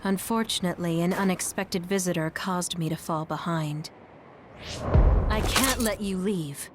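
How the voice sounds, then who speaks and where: A woman's voice: fairly low pitch, unhurried, noisy background, lightly acted. A woman playing a tormented military commander and confessed spy in an English video game dub. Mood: composed. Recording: music playing behind the voice.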